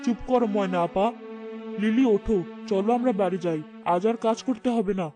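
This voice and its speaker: A woman's voice: mid-range voice, a little fast, over music, voice pushed down, lightly acted. A woman playing a stern but caring, hard-working poor village elder sister in a cartoon, firm and practical. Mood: firm, protective. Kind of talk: cartoon fairy-tale dialogue.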